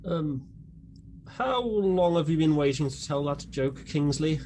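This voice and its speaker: A man playing a dry, befuddled gentleman detective in a comic radio drama, hesitant and theatrical. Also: on the high side, animated, measured pace, slight background noise. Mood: dry, muddled.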